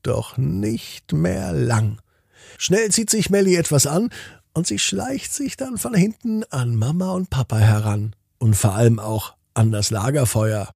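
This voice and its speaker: A man narrating a scripted bedtime story for children, in short simple phrases with deliberate pauses. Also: on the high side, expressive, creaky, dark tone, breathy edge, dry recording, clean studio sound.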